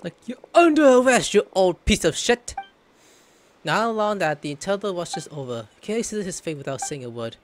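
A man's voice: sits high, sing-song, normal speed, slight background noise. A man doing playthrough commentary as a gaming streamer, reactive, exclamatory and prone to swearing. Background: game music.

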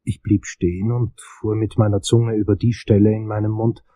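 An actor playing a brooding, infatuated investigator, narrating a crime radio play in a measured, intense manner. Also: male voice, on the low side, flat delivery, warm tone, dry recording, lightly acted. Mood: fascinated.

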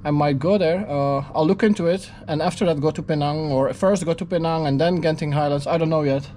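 Male travel vlogger, thirties, slightly higher voice, casual thinking-aloud delivery, noisy handheld camera audio. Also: a little fast, warm tone. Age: 30s. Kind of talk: casual spoken-as-it-happens travel vlog narration. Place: city street, then hotel lobby, lift, corridor and room. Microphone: action camera held at arm's length.

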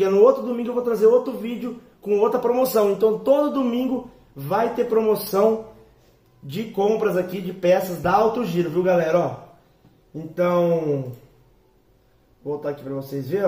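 A hoarse young man with a São Paulo interior accent, talking loosely and repeating fillers like "ó" to his viewers. His voice sits fairly high, and it bounces around a hard room. The camera mic is set well back. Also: normal speed, mellow. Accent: Brazilian Portuguese, São Paulo interior. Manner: chatty, repeats "ó" and "galera" as fillers. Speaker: motorcycle parts shop owner. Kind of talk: casual vlog to viewers. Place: small shop with shelves of parts, indoors. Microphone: far camera. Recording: echoey room, mic at a distance.

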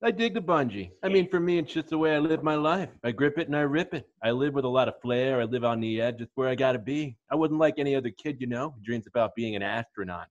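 A man plays a self-assured, airheaded male model in a comedy table read. He rattles along quickly at a middling pitch, laid-back in manner, through slightly muffled video call audio. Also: close to an everyday voice. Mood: earnest, breezy.

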